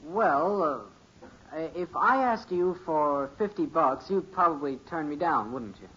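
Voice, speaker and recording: An actor playing an eager, boastful teenage son in a 1950s radio sitcom, earnest and self-important. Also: male voice, fairly high pitch, expressive, unhurried, pushed and bright, breathy edge, full character voice. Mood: hesitant, coaxing. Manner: earnest, self-important, hesitant under questioning. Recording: dull, hissy old radio recording.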